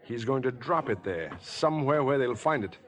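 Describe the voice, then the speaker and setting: An actor playing a tense, determined young athlete in 1950s radio drama, clipped and decisive. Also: male voice, medium pitch, lively intonation, normal speed, natural voice. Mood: troubled. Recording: vintage 1950s radio broadcast.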